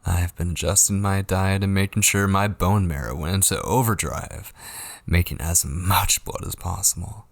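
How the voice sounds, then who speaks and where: Man playing a low, breathy, obsessive yandere kidnapper. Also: dry recording. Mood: gloating. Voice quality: breathy, husky, often dropping to whispers. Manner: slow, coaxing, teasing endearments. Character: obsessive possessive male yandere kidnapper, sweetly menacing and taunting. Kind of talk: ASMR roleplay monologue. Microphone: close studio microphone, dry.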